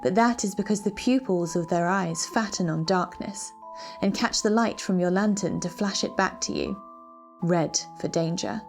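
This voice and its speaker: A woman narrating a literary story in a deliberate, ominous, dramatic way, as a scripted readthrough. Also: medium pitch, creaky, darkish tone. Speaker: reader of a short story. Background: background music.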